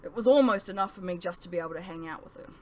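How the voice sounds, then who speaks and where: A woman reading a first-person novel aloud as scripted narration, with emphatic phrasing and dramatic pauses. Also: lowish voice, a little mellow.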